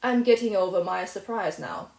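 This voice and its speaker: A woman's voice: mid-range voice, normal speed, creaky, darkish tone, reverberant, close to an everyday voice, low-quality recording. A woman reading aloud, voicing a stern, dry-spoken middle-aged spinster. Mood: dry, firm. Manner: dry, firm, matter-of-fact.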